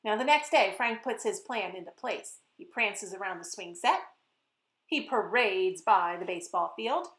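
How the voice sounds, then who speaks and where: A woman reading a picture book aloud to children in an expressive way, speaking to her young listeners directly. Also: mid-range voice, moderate pace. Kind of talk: animated children's story read-aloud. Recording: roomy echo.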